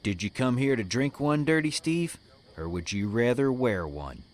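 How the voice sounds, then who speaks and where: A man as a dry, mid-pitched cowboy hero. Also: animated, normal speed, close to an everyday voice. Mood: dryly threatening. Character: tough, sardonic cowboy hero guarding his friends. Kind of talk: comic Western audio drama acting.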